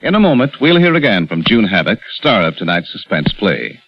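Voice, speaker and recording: A man announcing a sponsor message in a smooth, persuasive old radio style. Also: on the low side, sing-song, brisk. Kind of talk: sponsor commercial read. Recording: dull old radio broadcast with faint music.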